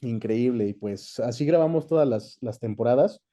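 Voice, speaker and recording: A man's voice: mid-range voice, moderate pace, warm tone. A man with a Mexican accent chatting casually as an interview guest, recounting his experience. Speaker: guest, dubbing actor and director.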